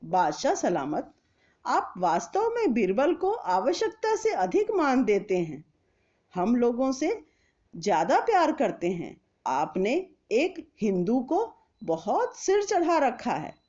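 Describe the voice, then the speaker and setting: A woman's voice: a little high, huge pitch swings, slow pace, darkish tone, muffled recording, full character voice. A woman voicing anxious, fawning male royal courtiers in a storybook, with a deferential, pleading delivery.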